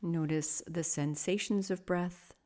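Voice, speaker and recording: Low-pitched woman guiding a meditation. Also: fairly even intonation. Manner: soft, soothing, measured with long pauses. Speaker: yoga nidra meditation teacher. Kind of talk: guided meditation.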